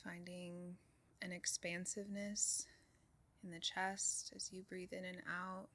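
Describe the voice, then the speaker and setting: A woman leading a guided meditation in a calm, soothing way, giving soft instructions with unhurried pauses. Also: on the low side. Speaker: meditation group leader.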